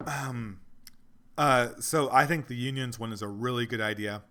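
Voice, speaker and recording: A man whose voice is going hoarse talks through a livestream in an ordinary middle register. He is earnest and meandering, and he works his thoughts out aloud as he answers viewers. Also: mid-range voice, normal speed, sharp tone. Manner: rambling, earnest, thinks aloud. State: losing his voice. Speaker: livestream host reading donations. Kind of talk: casual livestream Q&A.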